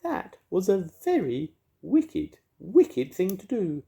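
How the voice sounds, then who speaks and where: A man voicing a fussy, kindly female fairy who wails in high cries. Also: huge pitch swings, takes it slowly, vocal fry, mellow, heavily acted. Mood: fussing.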